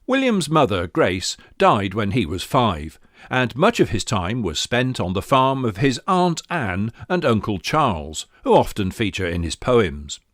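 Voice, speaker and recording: A man delivers scripted narration as a presenter of poetry. His mid-pitched voice rises and falls very widely, recorded dry with little room sound. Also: big pitch swings, unhurried, a bit bright, no room sound. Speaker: presenter introducing poems.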